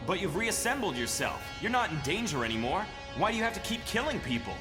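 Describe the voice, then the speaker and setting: In long reverb over music, an earnest mutant team leader acted by a man speaks in a slightly high male voice. He is direct and decisive, in the manner of a comic-book hero. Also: big pitch swings, fast talker. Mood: puzzled, challenging. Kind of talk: scripted comic-book drama acting.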